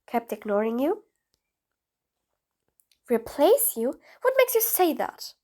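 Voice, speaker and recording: A high-voiced, sly supervillain acted out by a woman for an audio roleplay. The delivery is theatrical and mocking, with grand, formal phrasing. The villain comes across as impatient and scheming, with a grudging streak of sympathy. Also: big pitch swings, slow pace, a little mellow, exaggerated voice. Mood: curious, probing. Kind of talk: scripted audio roleplay monologue.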